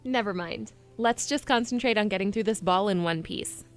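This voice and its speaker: A woman's voice: medium pitch, normal speed, faint music behind, lightly acted. A woman playing a plucky, awkward young princess in an audio drama, talking in a casual, blunt way. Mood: teasing, awkward.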